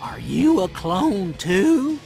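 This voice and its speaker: A man's voice: high voice, huge pitch swings, drawn-out words, gravelly, a little mellow, faint music behind, full character voice. A man playing a dim-witted, simple-minded male alien clone sidekick in a video game. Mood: puzzled.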